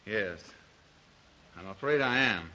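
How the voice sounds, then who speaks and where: An actor playing a plain-spoken hunter and shaken castaway, clipped and polite, in an old-fashioned drama. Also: male voice, lowish voice, lively intonation, slow pace, sharp tone, highs cut off, heavily acted. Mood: grieving, stunned.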